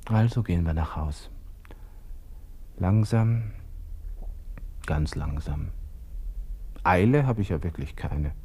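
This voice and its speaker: Low-voiced brooding young Viennese lieutenant. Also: male voice, warm tone, husky, full character voice. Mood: resigned, weary. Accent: Austrian, Viennese. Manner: muttering to himself, colloquial, broken musing. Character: young Austrian army lieutenant, despairing, brooding over suicide. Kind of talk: radio drama interior monologue, close and intimate.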